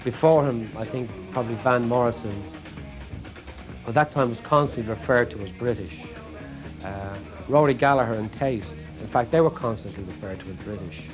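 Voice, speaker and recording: A man's voice: medium pitch, normal speed, music in the background, poor audio. A man giving a documentary interview in an assured, matter-of-fact way.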